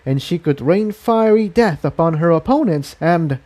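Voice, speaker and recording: A man's voice: a little high, lively intonation, normal speed, dark tone, pitched above the performer's own voice, close to an everyday voice. A man voicing an anxious, self-doubting female pony in a storybook reading, speaking earnestly and fretfully. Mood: curious.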